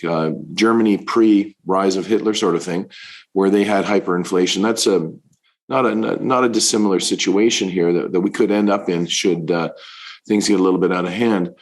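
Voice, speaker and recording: A middle-aged man, a fund manager, answering interview questions in a halting way with many fillers, his voice deepened by illness. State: recovering from covid, voice deepened.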